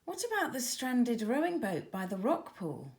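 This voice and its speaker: A woman narrating a scripted children's story in a dramatic, expressive manner. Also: a little high, moderate pace. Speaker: author narrator.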